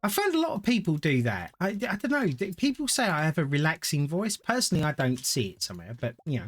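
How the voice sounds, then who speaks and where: A man's voice: on the high side, wildly swooping pitch, quick pace, fairly bright tone, faint music behind. A man, chatting casually and thinking aloud as he streams a game to viewers. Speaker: gaming streamer. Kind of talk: casual live-stream gameplay commentary.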